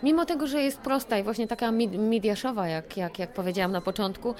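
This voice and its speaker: A woman with a medium-pitched voice talks casually and reflectively. She hesitates and repeats words while working out her opinion. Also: brisk, a little mellow. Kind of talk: casual discussion of a performance.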